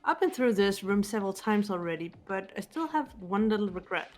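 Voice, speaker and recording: Woman acting a serious teenage girl detective, measured, cool, middle pitch, game dialogue, soft music behind. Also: expressive, natural voice. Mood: composed, serious. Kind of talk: visual novel character reading.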